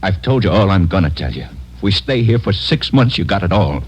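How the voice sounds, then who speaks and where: Weary, defensive low-voiced crime suspect. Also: male voice, very animated, a little fast, a little mellow. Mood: defensive, agitated. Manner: protesting, agitated, weary. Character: exhausted, defensive male truck hijacking suspect under interrogation. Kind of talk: 1940s-50s radio crime drama acting. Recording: muffled old radio recording, faint hiss.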